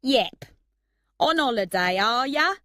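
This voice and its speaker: A woman's voice: fairly high pitch, expressive, takes it slowly, pushed and bright, dry recording, clean studio sound, pitched above the performer's own voice, full character voice. Woman putting on a cocky young Cockney man.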